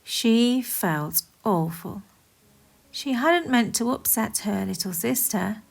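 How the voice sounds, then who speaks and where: A woman reading a children's story aloud as expressive scripted narration, in a deliberate, animated manner. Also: a little high, creaky.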